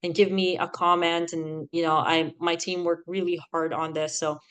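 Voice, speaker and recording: A woman in her thirties from the Philippines, with a Filipino accent that leans American, giving animated, chatty podcast advice and laughing often. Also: fairly low pitch, moderate pace, smooth. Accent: Filipino, with an American lilt. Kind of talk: casual advice talk.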